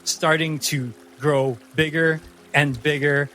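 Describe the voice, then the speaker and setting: Slow, dramatic male game master. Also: fairly high pitch, fairly even intonation, sharp tone, slight background noise. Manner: theatrical, deliberate. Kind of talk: dramatic narration of a tabletop fantasy game.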